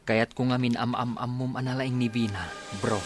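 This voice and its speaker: A man's voice: medium pitch, steady tone, moderate pace, faint music behind, natural voice. A man with an Ilocano Filipino accent playing a chummy, teasing buddy in a comedy radio drama.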